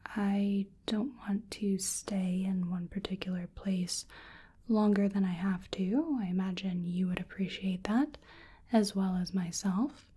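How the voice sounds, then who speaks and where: Female ASMR performer as a curious, polite examiner: breathy half-whisper, mellow tone, gentle and musing, unhurried. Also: medium pitch, steady tone. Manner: gentle, hesitant, musing delivery. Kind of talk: soft-spoken ASMR roleplay.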